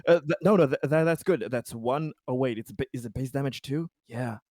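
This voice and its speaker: A man chatting casually as a player in an online tabletop roleplaying game, excitable and prone to swearing. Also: mid-range voice, fairly quick, dry recording, clean studio sound.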